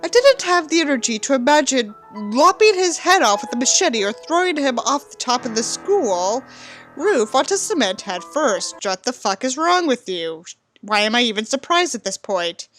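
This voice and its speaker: Animated high-voiced woman reading theatrically. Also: moderate pace, faint music behind. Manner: sarcastic, sweary, theatrical. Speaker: book reviewer and reader. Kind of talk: dramatic reading of a book with mocking asides.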